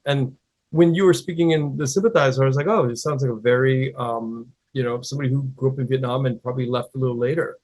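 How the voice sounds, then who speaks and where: A man with a husky, slightly rough voice asks questions in a loose, rambling way, full of fillers. His pitch is ordinary for a man. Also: fast talker, a little mellow, rough recording. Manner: rambling, hesitant, many fillers. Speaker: interviewer. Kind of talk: casual interview chat.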